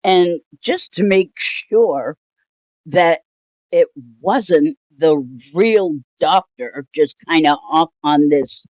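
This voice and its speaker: An older woman telling a personal story casually, in a rambling, halting, wryly amused way. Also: on the low side, a little mellow, no echo. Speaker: storytelling vlogger.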